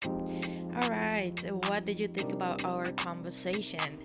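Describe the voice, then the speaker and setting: A podcast host with a Japanese accent, a woman who talks in a bubbly, chatty way and is quick to jump in with questions. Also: fairly low pitch, steady tone, over loud music. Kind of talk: casual interview chat.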